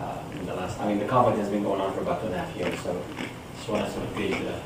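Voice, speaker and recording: A man's voice: low voice, little pitch movement, quick pace. A man giving an informal public presentation as a guest speaker, talking conversationally and often checking in with listeners. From born in Damascus, Syria, moved to the US when young. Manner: conversational, often checking with "you know" and "right?". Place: indoor room in front of an audience. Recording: very echoey room, distant mic, clear noise.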